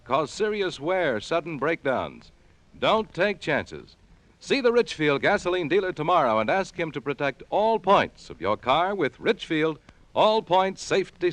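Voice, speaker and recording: A man's voice: a little high, fairly bright tone, dry recording. An American man reading a scripted vintage radio advertisement as an announcer.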